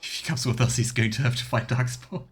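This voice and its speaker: A man's voice: mid-range voice, fast, slightly rough. A man playing through a fantasy game as a streamer, reading dialogue aloud and commenting casually. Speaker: streamer, let's player.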